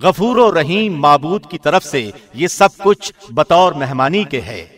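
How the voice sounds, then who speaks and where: A man reading a scripture translation from a script in a formal, solemn radio presenter's style with deliberate enunciation. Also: fairly high pitch, expressive, fairly bright tone, soft background music. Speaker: translation reader, radio host.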